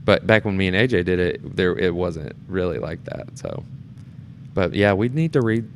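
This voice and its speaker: A man chatting casually and telling stories in an animated way, acting out how people react. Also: low voice, moderate pace. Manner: animated storyteller, acts out reactions. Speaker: guest, amateur stage performer. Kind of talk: casual storytelling chat. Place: temporary studio in a theatre. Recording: clear background noise and room ambience.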